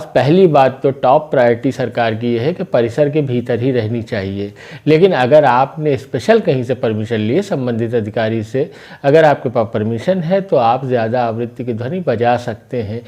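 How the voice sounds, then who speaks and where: A man's voice: mid-range voice, warm tone. A man presenting local news commentary, explaining things point by point in a conversational way.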